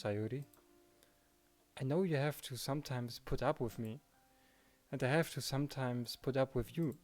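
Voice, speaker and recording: A man's voice: on the high side, a little mellow, natural voice. A man voicing an earnest, protective teenage boy who narrates and speaks as the protagonist of a visual novel.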